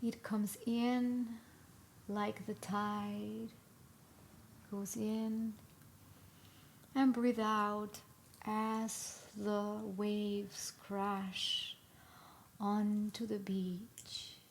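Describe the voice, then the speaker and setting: A woman leading a live guided meditation in a soothing, instructional way, with long pauses between short phrases. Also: medium pitch, level tone. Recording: live recording with clear background noise.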